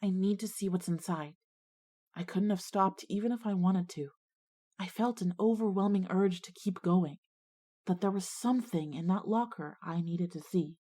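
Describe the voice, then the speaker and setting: A woman acting as an earnest schoolgirl visionary, narrating her own ghost story in a measured way for an audio drama. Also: fairly low pitch, dark tone, natural voice. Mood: tense. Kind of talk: scripted first-person dramatic narration.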